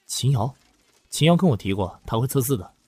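An actor playing an earnest, curious office worker who is easily incredulous, in an audio drama. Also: male voice, mid-range voice, lively intonation, fairly quick, a little mellow, slightly rough, dry recording. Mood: friendly, concerned. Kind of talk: Chinese audio drama acting with first-person narration.

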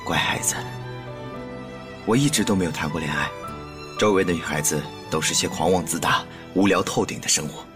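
A man playing a gentle, intimate young husband with an obsessive streak in an audio drama. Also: sits low, natural voice. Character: soft-spoken, obsessive young husband fixated on dolls. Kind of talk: Mandarin audio drama acting.

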